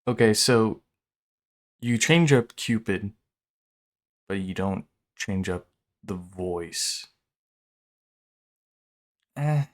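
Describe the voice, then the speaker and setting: A man with a stuffy nose from a sinus infection, giving blunt, excitable reaction commentary as a video creator. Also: fairly low pitch, drawn-out words, pauses often.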